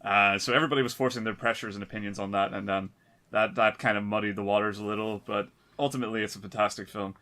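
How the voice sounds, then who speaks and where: A man's voice: on the low side, steady tone, quick pace, bright, forceful tone. A man co-hosting a casual film podcast, talking informally and swearing freely. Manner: freely profane. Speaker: podcast co-host.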